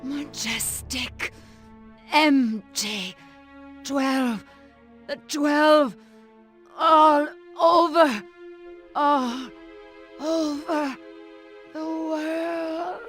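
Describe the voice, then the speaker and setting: A woman's voice: high voice, fairly even intonation, very slow, long pauses, fairly bright tone, faint music behind, full character voice. A woman acting a distraught agent of a secret organization in a video game cutscene. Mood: distraught, pleading.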